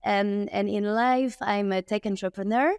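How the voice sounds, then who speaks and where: A woman's voice: medium pitch, fairly even intonation, normal speed, a little mellow, clear, resonant tone, no room sound, clean studio sound. A woman in her thirties from France with a French accent, speaking earnestly and emphatically as a guest on a remote interview. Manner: earnest, emphatic, with frequent restarts and "okay?" asides. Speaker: guest, tech entrepreneur.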